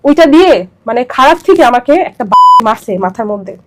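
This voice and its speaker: A woman's voice: on the high side, sing-song, normal speed, very noisy. A young woman with a Bangladeshi accent telling her personal story as an interview guest, emphatic and repetitive as she pours out her account.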